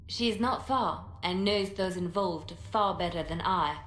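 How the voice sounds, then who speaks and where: A woman's voice: lowish voice, a little slow, fairly bright tone. Poised woman advisor in an echoey hall. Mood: earnest. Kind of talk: video game cutscene acting. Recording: roomy echo, noisy ambience.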